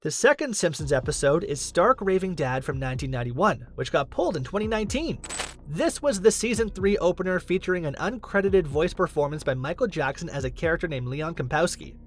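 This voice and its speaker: High-pitched, lively male countdown narrator over music. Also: brisk. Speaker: video narrator, list countdown host. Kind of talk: scripted narration.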